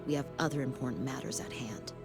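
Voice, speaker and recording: An actress playing a stern, authoritative sci-fi military commander in a video game, with formal, clipped briefing delivery. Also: female voice, extremely low pitch, fast talker, vocal fry, music in the background. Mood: grave, resolute. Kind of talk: video game cutscene acting.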